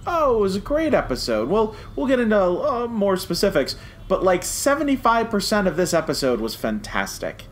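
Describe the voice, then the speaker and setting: A man's voice: sits high, huge pitch swings, moderate pace, few pauses. A man chatting casually as a podcast co-host, enthusiastic and jokey. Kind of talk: casual podcast review chat.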